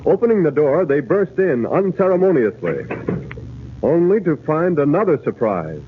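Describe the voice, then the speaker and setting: A man's voice: a little high, big pitch swings, moderate pace. A man narrating a 1940s radio serial in an emphatic, theatrical announcer's style. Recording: tinny, noisy 1940s radio broadcast.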